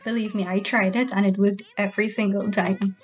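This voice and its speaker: A woman's voice: mid-range voice, little pitch movement, brisk, music in the background. A woman speaking in a personal farewell tribute as a grandchild remembering a grandmother.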